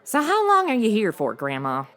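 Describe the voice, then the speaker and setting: A woman's voice: medium pitch, wildly swooping pitch, normal speed, a bit bright. A woman playing a sassy modern young woman in a comedy audio drama, slangy and flippant.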